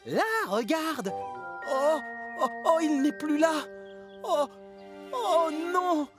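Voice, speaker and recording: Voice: sits high, lively intonation, very slow, over music, heavily acted. An actor voicing an eager, kind, anxious young male tank engine in a children's cartoon, earnest and exclamatory. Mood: distressed. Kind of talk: dubbed children's cartoon dialogue.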